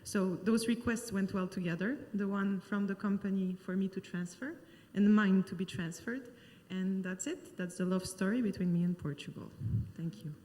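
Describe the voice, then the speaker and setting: A woman giving a short, steady conference testimonial. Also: medium pitch, moderate pace. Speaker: panel speaker. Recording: long hall reverb.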